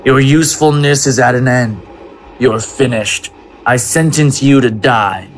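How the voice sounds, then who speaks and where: An actor playing a cold, ruthless commander in an audio drama, with a clipped, menacing, commanding delivery. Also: male voice, medium pitch, unhurried, natural voice. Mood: cold, contemptuous. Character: cold, ruthless male commander passing sentence.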